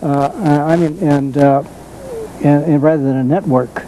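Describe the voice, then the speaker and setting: An older American man hosting a casual interview, speaking haltingly and often repeating his phrases. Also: on the high side, fairly even intonation, dark tone, a little hiss or hum, low-quality recording. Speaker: talk show host. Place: community television studio.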